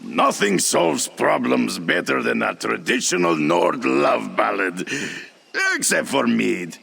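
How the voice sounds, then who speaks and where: An actor playing a brash, jovial, muddle-headed Nord warrior turned ambassador in a game roleplay, with a gravelly, husky voice. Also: male voice, mid-range voice, sing-song, slow pace, bright, forceful tone, slight background noise, heavily acted. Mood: jovial, boastful.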